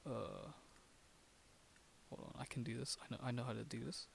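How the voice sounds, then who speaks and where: A man's voice: medium pitch, moderate pace, creaky, a little hiss or hum. A man co-hosting a casual podcast, talking in a rambling way with many restarts and laughs. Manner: rambling, repeats himself, laughs often. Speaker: podcast co-host. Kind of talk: casual chat.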